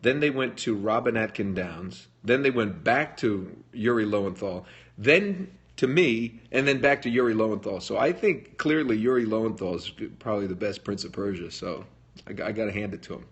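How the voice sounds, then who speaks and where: A middle-aged man hosts a live fan Q&A from home and chats loosely as he reads out comments. His voice sits low and sounds husky. He jokes easily and answers quickly. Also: expressive, lo-fi sound. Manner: chatty, jokey, reads comments aloud and answers. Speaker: livestream host answering fan questions. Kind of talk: casual live Q&A chat. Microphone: phone livestream.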